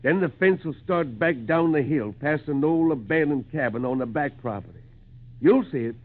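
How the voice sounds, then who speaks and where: A man's voice: on the high side, moderate pace, natural voice. A man playing a plain, wary hill farmer, in 1940s radio acting. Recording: tinny 1940s radio recording.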